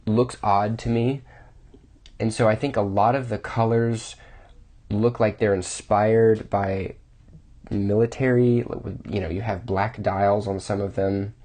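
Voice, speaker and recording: Mellow, low-voiced man reviewing watches. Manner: relaxed, thinking aloud with restarts. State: voice a bit off from a cold. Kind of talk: casual video review.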